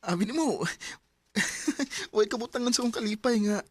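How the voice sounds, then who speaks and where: A man playing a hesitant young man courting a woman in a radio drama, gentle and laughing nervously. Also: extremely high pitch, moderate pace, a little hiss or hum.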